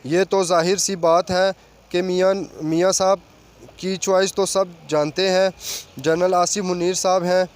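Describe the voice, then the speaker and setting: High-pitched, flat-toned male news commentator. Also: a little slow, clear, resonant tone. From Pakistan.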